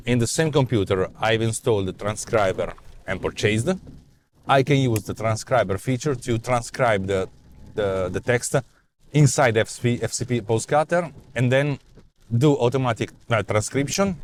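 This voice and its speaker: A man's voice: fairly low pitch, a little hiss or hum. A man in his forties with an Italian accent, talking off the cuff in a car about his app ideas, with lots of fillers and repeated words. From Italy. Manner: animated, gestures a lot, many repeats and fillers. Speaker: vlog host and app developer. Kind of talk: casual spoken rant. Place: inside a parked car, daytime. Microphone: clip-on lapel mic.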